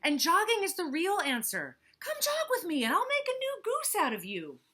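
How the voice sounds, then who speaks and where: A woman voicing a pushy, health-crazed male hippo in a storybook, loud, breathless and overeager. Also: very high-pitched, wildly swooping pitch, normal speed, a little hiss or hum, pitched above the performer's own voice, full character voice. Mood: eager.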